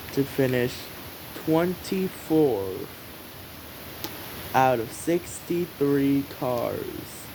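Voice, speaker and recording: Story narrator, very slow and halting, mid-range voice, noisy hissy home recording. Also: mid-range voice. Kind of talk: scripted story narration, read aloud slowly with pauses.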